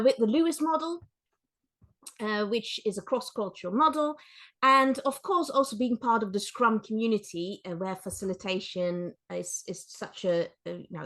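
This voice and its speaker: A woman's voice: mid-range voice, moderate pace, a bit bright. Friendly woman from the Netherlands. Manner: friendly, hesitant, many fillers. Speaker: guest, author and coach. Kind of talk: casual interview chat.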